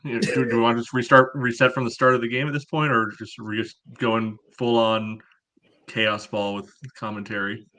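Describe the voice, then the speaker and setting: A man co-hosting a sports podcast, giving casual match analysis in short, measured phrases. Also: mid-range voice, flat delivery, pushed and bright.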